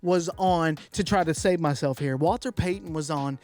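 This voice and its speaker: A man co-hosting a retro podcast, reading fan mail in a relaxed, slangy, joking way. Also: fairly high pitch, moderate pace. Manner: laid-back, slangy, laughs easily. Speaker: podcast co-host.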